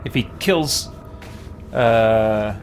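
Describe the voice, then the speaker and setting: A man's voice: fairly low pitch, very slow, slight background noise. A man doing casual live-stream gameplay commentary, thinking aloud in an easygoing, chatty way. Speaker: game streamer, host.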